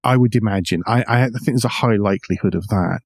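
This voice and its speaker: Middle-aged man, low voice, dry sound. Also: fast talker, creaky, a little mellow, no echo. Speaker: podcast co-host. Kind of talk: casual discussion of car news.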